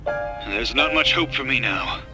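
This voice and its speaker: A man's voice: mid-range voice, lively intonation, unhurried, music in the background, lightly acted, rough recording. An actor playing a grave, remorseful middle-aged scientist father leaving a pleading video message, in a game cutscene. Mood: tender. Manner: earnest, pleading.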